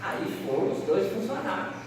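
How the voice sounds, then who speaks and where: A Brazilian man giving a seminar talk, an animated storyteller who pauses on words and corrects himself aloud. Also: sits high. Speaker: lecturer at a Spiritist seminar. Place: lecture hall. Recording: echoey hall, voice from far off, faint hiss.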